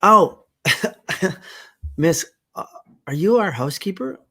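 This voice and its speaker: A man's voice: slow, heavily acted. A man doing a stammering eager little girl. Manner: stammering, high and excited. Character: young girl, eager and fidgety.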